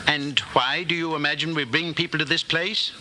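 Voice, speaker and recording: A man playing a calm, menacing Party interrogator in 1940s radio drama style, measured, formal and condescending. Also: on the high side, lightly acted. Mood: condescending, patient. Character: calm, menacing male Party interrogator, patient and coldly superior. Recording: noisy vintage 1940s radio broadcast.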